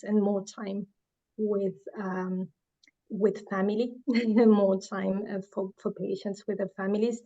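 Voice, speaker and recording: A woman's voice: mid-range voice, warm tone, lo-fi sound. A middle-aged woman from Uruguay, speaking earnestly in a panel talk about her work in marketing, with restarts and fillers. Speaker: marketing manager.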